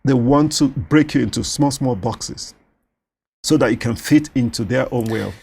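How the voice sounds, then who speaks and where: A man with a Nigerian accent whose first language is Yoruba, talking as a doctor in a studio interview, animated and quick to laugh. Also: medium pitch, gravelly, breathy edge. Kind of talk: conversational interview.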